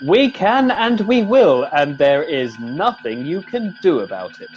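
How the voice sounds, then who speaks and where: An actor playing a genteel, polite second-in-command, mildly appalled, in a comic radio play. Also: male voice, a little high, big pitch swings, unhurried, highs cut off, faint music behind. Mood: resigned, firm. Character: genteel, languid public-school English male second-in-command.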